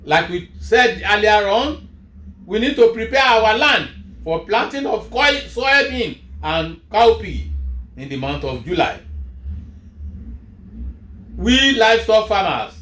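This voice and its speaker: A man, a Yoruba speaker, giving a measured, emphatic farm advisory talk as host of a farming show. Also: high voice, expressive, pushed and bright, roomy sound. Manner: measured, emphatic, rising then falling at each phrase.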